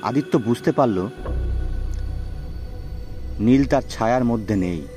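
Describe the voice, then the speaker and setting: A male narrator tells a dramatic suspense tale. His voice is medium in pitch, with music playing behind it. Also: slow. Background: background music.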